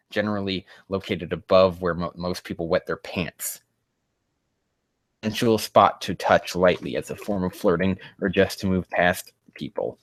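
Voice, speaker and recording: A man with a fairly low voice reads fan fiction aloud and reacts to it sarcastically. He is mocking and sweary, prone to exasperation, and the sound is dry and close. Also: fairly bright tone, no room sound. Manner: profane, mocking, exasperated outbursts. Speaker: host.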